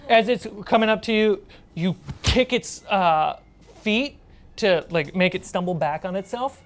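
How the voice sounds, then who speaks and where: A woman's voice: mid-range voice, lively intonation, unhurried. A woman acting as game master, narrating a tabletop role-playing game in a casual, conversational way. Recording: music behind the voice. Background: music.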